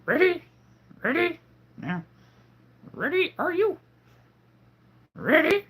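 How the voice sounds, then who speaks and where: A man doing a halting, strained impression of a wise, cryptic little old alien master during a live stream. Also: wildly swooping pitch, fairly bright tone, full character voice. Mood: teasing. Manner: halting, squeaky, strained attempt at the voice.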